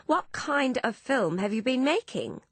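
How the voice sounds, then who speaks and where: A woman's voice: high-pitched, very animated, measured pace, breathy edge, no room sound, pitched above the performer's own voice, heavily acted. A woman voicing a stern, protective elder sister in an audiobook, with a grim, commanding manner. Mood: grim, shocked.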